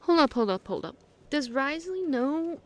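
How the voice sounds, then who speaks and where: A woman's voice: sits high, lively intonation, moderate pace. A woman streaming a game, giving casual, exclamatory live reactions in an informal way.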